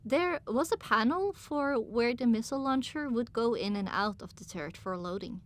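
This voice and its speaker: A woman narrating a scripted first-person story as an audiobook, with an expressive, dramatic delivery. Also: a little high, normal speed.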